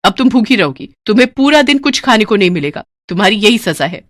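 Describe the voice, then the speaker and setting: A woman's voice: medium pitch, sing-song, quick pace, lightly acted. A woman voicing a bossy, greedy young daughter-in-law who scolds and orders people around in a storybook drama. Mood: scolding. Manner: haughty, scolding, commanding.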